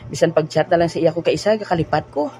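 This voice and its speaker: Man voicing a cheery young trainer, fairly high voice, laughs easily, music clearly under. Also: fast talker, a little mellow, lightly acted. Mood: cheerful. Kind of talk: amateur audio drama.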